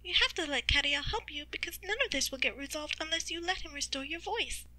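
A woman voicing an excitable young male animal character who reasons eagerly and emphatically in a storybook. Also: high voice, fairly quick, dry recording, pitched above the performer's own voice. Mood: earnest urging.

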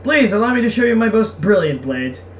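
A woman's voice: mid-range voice, sing-song, brisk, close to an everyday voice. A woman voicing a proud, sarcastic young male craftsman in a visual novel game. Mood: scheming, boastful. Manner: sarcastic, boastful. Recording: distant mic, faint background noise.

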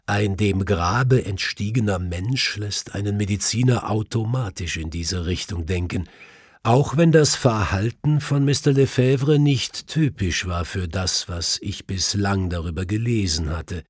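A male audiobook narrator with a fairly low, husky voice. He tells a detective story in measured, literary style. The sound is close and dry, with no room on it. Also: no room sound.

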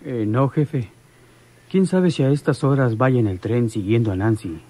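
A man's voice: mid-range voice, normal speed, dark tone, natural voice. An actor playing a deferential henchman reporting to his boss in a radio drama. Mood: deferential, explaining.

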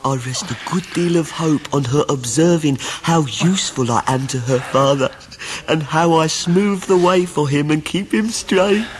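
A man's voice: fairly high pitch, unhurried, a little hiss or hum, close to an everyday voice. A man playing a falsely humble, scheming young clerk in a radio drama, with an obsequious, self-abasing manner. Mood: quietly scheming. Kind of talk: 1990s radio drama acting.

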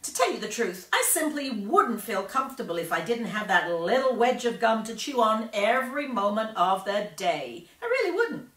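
A shouting, bragging young girl who chatters about her gum, her voice jumping high and wide, as a woman reads her part aloud. The voice sounds roomy and set back from the mic. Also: sing-song. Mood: gushing. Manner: shouting, fast and boastful. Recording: roomy echo, distant from mic.

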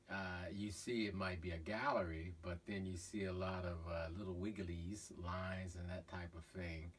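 A middle-aged man, a painter, talking casually about his own painting in a halting way with many fillers, filmed in a cluttered studio. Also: sits low. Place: cluttered art studio indoors. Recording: voice from far off, faint room noise.

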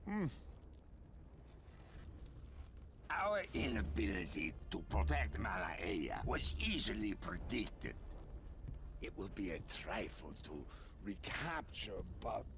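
An actor playing a pompous, cold middle-aged military dictator in a game, speaking in a deliberate, grandiose manner. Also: male voice, lowish voice, sing-song, creaky, background noise, exaggerated voice. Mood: cold, measured. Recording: loud music behind the voice.

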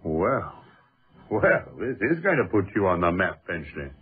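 A man acting in a radio drama as an eager, inquisitive gentleman who presses his colleague briskly and impatiently, often repeating himself. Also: low-pitched, sing-song, husky, exaggerated voice. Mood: impressed. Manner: brisk, pressing, repeats words, hearty old-fashioned speech. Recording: muffled lo-fi old radio recording.

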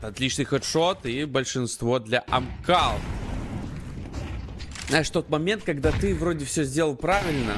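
A man's voice: fairly high pitch, noisy background. A man commentating and analysing a live esports match in conversational gamer slang. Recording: gunfire and game sounds behind. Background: gunfire, clicks from the game.

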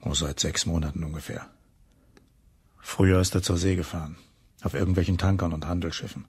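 Wanted: radio-play lighthouse man, deep and heavily raspy, reflective, troubled, conscientious. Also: fairly even intonation, a little fast, darkish tone, full character voice. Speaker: actor in an audio drama. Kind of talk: German crime radio play acting.

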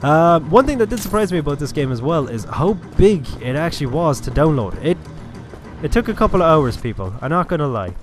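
Higher-voiced Irish man gaming. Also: big pitch swings, a little fast. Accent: Irish, from Cork. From Cork, Ireland. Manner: chatty, swears casually. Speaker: gaming channel host. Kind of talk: casual first-person shooter walkthrough commentary. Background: game music and gunfire.

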